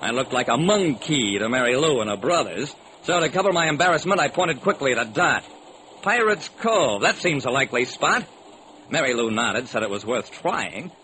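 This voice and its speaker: Animated, wry radio detective. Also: male voice, medium pitch, moderate pace. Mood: brisk storytelling. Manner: theatrical, sing-song rhyming delivery. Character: wry rhyming private detective telling his own case. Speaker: narrator and lead actor. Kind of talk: old-time radio detective acting, rhyming scripted narration. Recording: noisy vintage radio recording.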